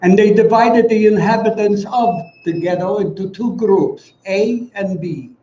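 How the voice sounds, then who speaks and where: Man around 90, Polish accent, mellow timbre, grave and measured orator at a ceremony; dull, far-off recording with faint noise. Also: high voice, reverberant. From Poland. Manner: solemn, deliberate, formal, with emphatic pauses. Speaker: guest speaker, Holocaust survivor giving testimony. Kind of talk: prepared commemorative speech.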